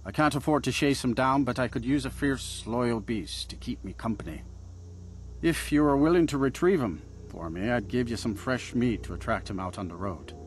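A man playing a plain-spoken village blacksmith in a video game, gruff, direct and practical. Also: medium pitch, moderate pace, slight background noise, close to an everyday voice. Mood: businesslike. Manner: direct, matter-of-fact. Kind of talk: video game character acting.

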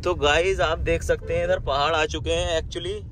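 A man's voice: fairly high pitch, fairly even intonation, normal speed, fairly bright tone. A young man casually narrating a road trip vlog, chatting to his viewers from inside a car. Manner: chatty, addresses viewers as guys. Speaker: vlogger. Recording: noisy car and road sound.

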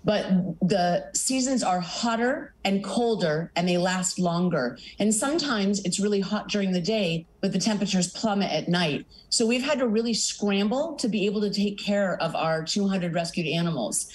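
A woman's voice: mid-range voice, normal speed, few pauses, low-quality recording. A middle-aged woman, founder of an animal sanctuary, speaking earnestly over a video call from a home office in a news interview. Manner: earnest, lists things in long runs. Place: home office with pictures on the wall. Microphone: video call webcam.

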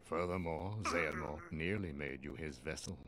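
An actor voicing a stern, wise old wizard master in a video game, speaking formally and gravely in measured phrases. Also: male voice, very deep voice, background noise. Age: older. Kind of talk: video game cutscene acting.

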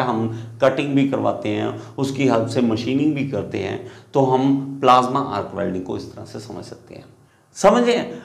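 Gravelly young man teaching, echoey room. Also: medium pitch. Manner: animated, gestures at a screen, asks and answers his own questions. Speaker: engineering teacher. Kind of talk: classroom lecture. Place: small room in front of a TV screen. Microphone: lapel mic.

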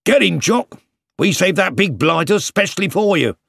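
A British man voicing hearty, excitable soldiers of the trenches, calling out in an audiobook story. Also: high voice, moderate pace, creaky, breathy edge, dry recording, studio quality, pitched above the performer's own voice, heavily acted. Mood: teasing. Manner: calling out, exclamatory. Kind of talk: audiobook character reading.

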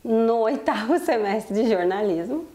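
Mellow-voiced woman student. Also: on the high side, expressive, unhurried. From Porto Alegre, Brazil. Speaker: journalism student. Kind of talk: interview testimony.

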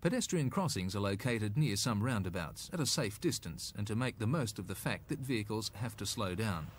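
A man's voice: lowish voice, animated, brisk, slight background noise. A man with an Australian accent narrating a scripted 1980s road safety film in an instructive, slightly folksy way.

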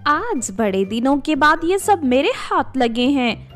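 A woman's voice: sits high, big pitch swings, measured pace, fairly bright tone, faint music behind, heavily acted. Woman voicing a spiteful, menacing fairytale villainess. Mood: gloating menace. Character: cruel, spiteful woman villain, gloating and threatening.

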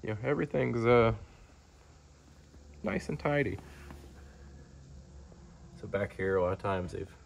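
This is a man with a low-pitched voice that often drops into a gravelly fry. He talks like a dealer showing off a vehicle on camera, informal and unscripted. His delivery is matter-of-fact and rambles a little from one point to the next. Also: animated, measured pace, pauses often. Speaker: car dealer presenting a vehicle. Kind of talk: casual off-the-cuff sales walkaround. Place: large indoor car showroom. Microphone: handheld camera, speaker behind it.

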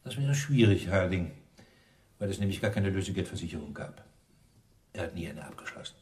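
An actor playing a dogged, sarcastic police inspector who questions in a probing, dry way, in radio crime drama style. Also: male voice, deep voice, very animated, a little fast, darkish tone, recorded from a distance. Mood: sardonic, pressing.

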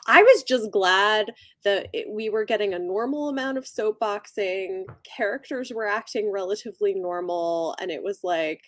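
A young woman talks casually as the co-host of a book livestream. Her voice sits at an average pitch for a woman. The delivery is informal and conversational, aimed at a co-host and online viewers. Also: moderate pace, few pauses, no echo, studio quality. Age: young adult. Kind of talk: casual chat, reacting to live comments. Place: small book-lined room at home, on a video call.

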